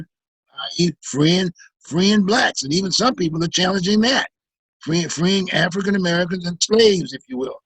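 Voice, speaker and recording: A man hosting a public affairs talk show, speaking haltingly and repeating words as he searches for the next phrase. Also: high voice, creaky, fairly bright tone, husky, no echo, poor audio.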